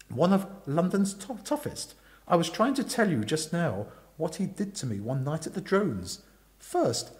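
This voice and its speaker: Man acting an idle young gentleman, amiable, breezy chatter, animated swings in pitch, storybook comedy. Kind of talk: theatrical storybook reading.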